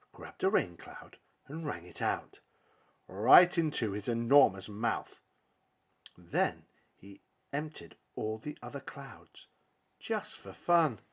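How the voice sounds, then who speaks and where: A male storyteller reads a picture book in a dramatic, theatrical style. His slightly husky voice rises and falls widely, and he takes his time, pausing for emphasis between phrases. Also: medium pitch. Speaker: storybook reader. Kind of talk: dramatic children's story narration.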